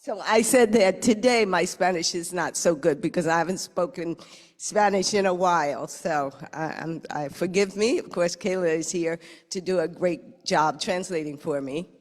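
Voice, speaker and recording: A woman's voice: on the low side, unhurried, big-room sound. A woman speaking as executive director to her staff, hesitant and self-deprecating about her Spanish. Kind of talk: speech to staff.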